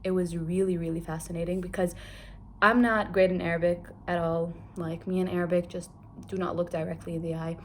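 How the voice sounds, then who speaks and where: A woman's voice: fairly low pitch, flat delivery, fairly quick, a little hiss or hum. A young adult woman from Kuwait giving a chatty, candid book review, talking casually with lots of filler words.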